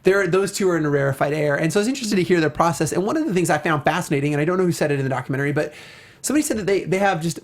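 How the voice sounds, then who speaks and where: A man's voice: on the high side, animated, very fast. A man hosting a casual discussion show, speaking in an enthusiastic, effusive way. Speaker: talk show host. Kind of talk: casual film and video game discussion.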